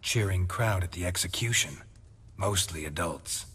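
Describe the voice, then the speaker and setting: An actor playing a stoic, battle-worn monster hunter, terse and dryly witty, in a fantasy video game. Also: male voice, low voice, measured pace, breathy edge, full character voice. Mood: dry, guarded. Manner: terse, deadpan. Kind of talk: dramatic video game dialogue.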